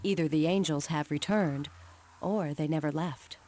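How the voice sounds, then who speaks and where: Through clearly noisy game audio, a resolute female commander played by an actress briefs her campaign in a lowish voice. She is solemn and measured, with formal military phrasing. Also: darkish tone, lightly acted. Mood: grave, resolute. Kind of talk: scripted cutscene narration.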